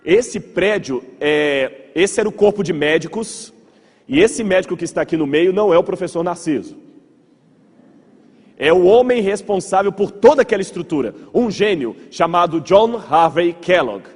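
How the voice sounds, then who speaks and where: A man's voice: sits high, expressive, normal speed, husky. A man with a Brazilian Portuguese accent, a pastor giving an informal storytelling lecture to a congregation, conversational, repeating words for emphasis and checking in with listeners. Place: large hall with audience. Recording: reverberant hall, slightly muffled voice.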